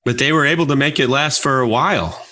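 A man with a medium-pitched voice hosts an interview. He asks short, prompting questions and briefly acknowledges the answers. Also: fast, bright, forceful tone.

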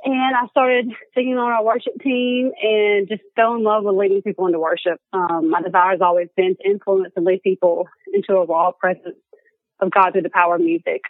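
A woman's voice: mid-range voice, fairly even intonation, a little fast, no room sound. A young woman with an Alabama accent, a guest chatting casually and laughing often. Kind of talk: casual chat. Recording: tinny phone line, dry.

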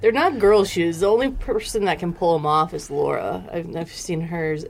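A woman's voice: fairly low pitch, normal speed, vocal fry. A woman chatting casually on a podcast, laid-back and blunt, swearing casually.

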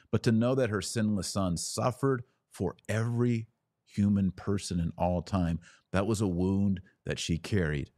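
A man hosting a podcast, giving a devotional theology talk with emphatic, deliberate phrasing and pauses for effect. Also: low-pitched, a little mellow, no echo, clean studio sound.

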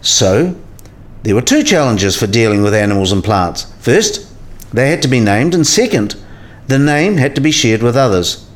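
Man acting a measured, learned professor. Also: medium pitch, sing-song, lightly acted. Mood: measured, instructive. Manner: measured, didactic, precise. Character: learned male professor patiently explaining science history. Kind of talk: scripted explanatory dialogue in a radio play.

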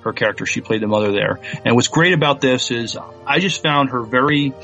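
A man co-hosting a sci-fi podcast, talking earnestly with many pauses and fillers. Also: medium pitch, sharp tone, lo-fi sound, soft background music. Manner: hesitant, lots of ums, earnest. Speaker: podcast co-host. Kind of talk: casual podcast chat.

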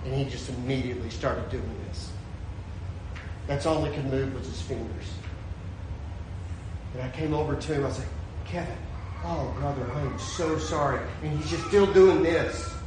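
A man preaching a sermon, his voice swinging from shouted peaks to a hushed storytelling tone. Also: mid-range voice, normal speed, long pauses, reverberant, noisy background. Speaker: pastor.

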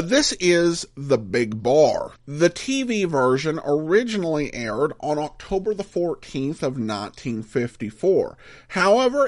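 American male podcast host, lively swooping pitch, conversational and slightly halting, dry recording. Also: no room sound. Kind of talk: informal scripted introduction to old-time radio programs.

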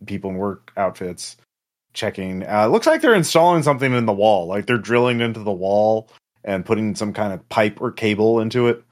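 A man's voice: lowish voice, expressive, a little fast. A man speaking as game master, narrating a tabletop game in an improvised, conversational way.

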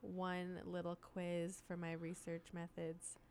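A woman's voice: on the low side, monotone, slow, a little mellow, slight background noise. A young woman in casual chat as a college radio co-host, giggly and chatty, peppering her talk with "like". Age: young adult.

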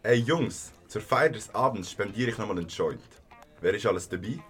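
A man's voice: on the low side, lively intonation, moderate pace, over music, lightly acted. A man playing a laid-back, sociable young stoner in a contemporary radio play.

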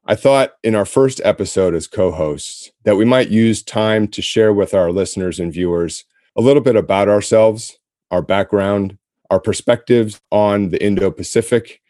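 A man with a low, deep voice hosts a podcast in a measured, formal manner. His tone is mellow and dark, and the sound is close and dry, with no room around it. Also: no room sound. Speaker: podcast co-host. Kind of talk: scripted podcast hosting. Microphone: remote call-in setup.

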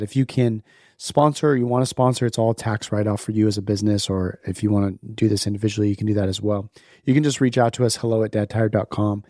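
A man with a hoarse, worn voice from being sick, casually opening a podcast and reading sponsor messages. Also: on the low side, mellow, no room sound, clean studio sound. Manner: upbeat, chatty, laughs at himself. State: hoarse, sick, losing his voice. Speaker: podcast host.

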